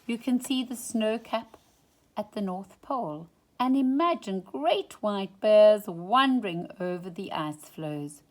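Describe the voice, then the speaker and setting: A woman reading a children's picture book aloud in an expressive, dramatic storytime style. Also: mid-range voice, takes it slowly. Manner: dramatic, drops into hushed whispers for suspense. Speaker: storytime reader.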